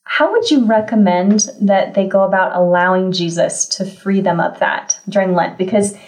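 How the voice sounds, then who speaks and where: A woman's voice: mid-range voice, moderate pace, darkish tone. A woman hosting a podcast interview, asking thoughtful questions in a conversational way. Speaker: podcast host.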